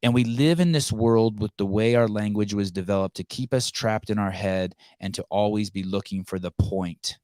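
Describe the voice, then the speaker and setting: A man's voice: lowish voice, darkish tone, no echo, clean studio sound. A man whose first language is Armenian, hosting a casual podcast conversation, rambling with frequent restarts and blunt profanity. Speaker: podcast host. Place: home in California.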